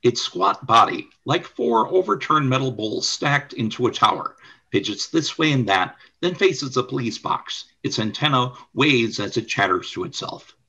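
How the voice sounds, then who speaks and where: Animated male narrator, bright and slightly husky. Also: medium pitch, moderate pace. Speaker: narrator and announcer. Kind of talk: scripted radio play narration.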